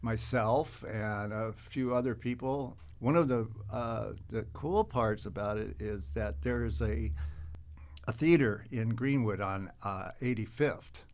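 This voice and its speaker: A man chatting casually as a podcast guest, telling anecdotes in a halting, rambling way. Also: lowish voice, a little mellow. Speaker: podcast guest, actor and car show organizer.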